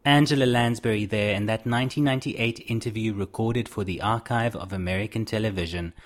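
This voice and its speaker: Guy doing documentary narration, calm informative read. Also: lowish voice. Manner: measured, informative. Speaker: narrator host.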